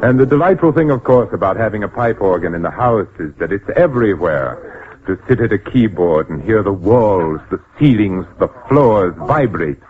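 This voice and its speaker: An actor playing a cultured, courtly widower with a fervent passion for pipe organs, in a theatrical old-time radio style. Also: male voice, fairly low pitch, lively intonation, normal speed, lightly acted. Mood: rapt enthusiasm. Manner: suave, formal, effusive, theatrical. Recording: tinny, band-limited old radio recording with music.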